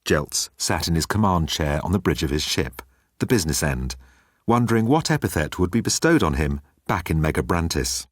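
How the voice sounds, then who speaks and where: Guy narrating a funny space novel, really low voice, dry studio sound. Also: sing-song, normal speed, no room sound. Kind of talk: scripted comic science-fiction story narration.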